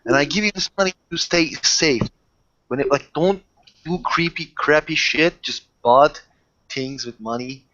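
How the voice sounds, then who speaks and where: A man's voice: on the high side, a bit bright. Man playing a protective werewolf, dull lo-fi sound. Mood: earnest, insistent. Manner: halting, repetitive, earnest pleading. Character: protective, insistent high-ranking werewolf man offering help. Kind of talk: improvised tabletop roleplay dialogue.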